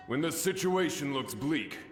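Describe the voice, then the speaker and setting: A man's voice: a little high, animated, measured pace. A man playing a devoted samurai warrior in a video game, speaking with fervent, formal reverence. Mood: reassuring, reverent. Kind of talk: English video game voice acting. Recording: echoey game audio with music. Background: game music.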